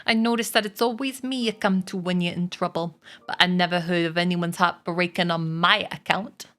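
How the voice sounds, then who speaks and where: A woman's voice: fairly low pitch, fairly even intonation, moderate pace, a bit bright, natural voice. A woman reading a storybook aloud and voicing a grumpy, sarcastic male rat who growls and mocks. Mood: mocking.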